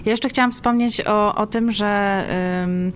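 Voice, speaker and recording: A woman's voice: mid-range voice, fairly even intonation, measured pace, a little hiss or hum. A woman speaking as a festival programmer and guest in a casual interview, enthusiastic and repeating words for emphasis.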